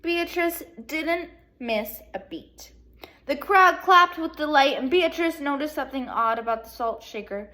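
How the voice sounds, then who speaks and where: Expressive woman teacher reading aloud, higher voice. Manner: animated storyteller, pauses to put questions to listeners. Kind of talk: expressive children's read-aloud, speaking to young pupils.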